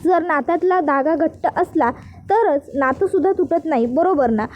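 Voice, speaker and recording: A woman's voice: high voice, moderate pace, darkish tone, a little hiss or hum, close to an everyday voice. A woman playing a hesitant earnest young husband.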